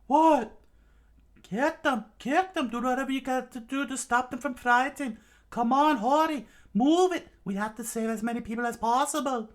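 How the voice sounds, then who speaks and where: A man voicing a bossy, urgent young pirate woman in falsetto while telling an adventure story. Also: fairly even intonation, a little slow, few pauses. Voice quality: high falsetto.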